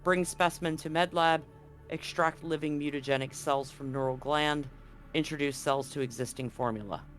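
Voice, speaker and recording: A man with a slightly high voice works as game master, narrating and reading out in-game documents. Music plays behind him as he guides the tabletop session. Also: fairly even intonation, normal speed, a bit bright.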